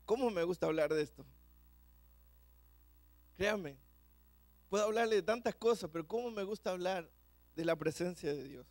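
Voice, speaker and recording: A man with a Chilean accent preaching a sermon to a church congregation, talking quickly and often repeating words as he goes. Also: high voice, a little mellow, dry recording. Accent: Chilean Spanish. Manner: fast-talking, repeats words when rushing.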